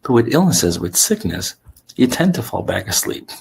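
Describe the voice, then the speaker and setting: A man with a gravelly, congested voice, talking as a sleep coach in a relaxed, reflective way with fillers and restarts. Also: medium pitch, moderate pace, a little hiss or hum. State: sick, congested with sore throat. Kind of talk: solo talk to camera.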